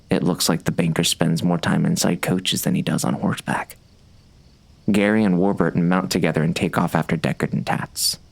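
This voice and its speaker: An actor playing a wry, brooding bounty hunter narrating a western audiobook in a gravelly voice. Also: male voice, deep voice.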